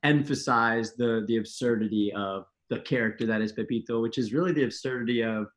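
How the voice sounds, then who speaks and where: A young man from Texas speaks as a director in a relaxed, thoughtful way, with a few fillers along the way. His voice sits at a middle pitch. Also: brisk. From Laredo, Texas. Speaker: guest, director. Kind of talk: casual interview.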